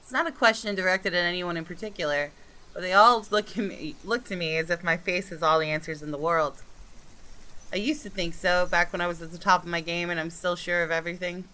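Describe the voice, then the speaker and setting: A woman narrating visual novel text aloud in a measured, dramatic, expressive way. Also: lowish voice, poor audio. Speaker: gaming video creator reading a game aloud.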